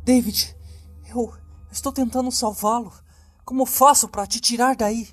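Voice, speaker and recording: A man's voice: very high voice, steady tone, measured pace, a bit bright, soft background music, pitched above the performer's own voice, full character voice. A Brazilian man voicing a frightened, desperate young woman in a horror story, pleading and distressed. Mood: urgent pleading.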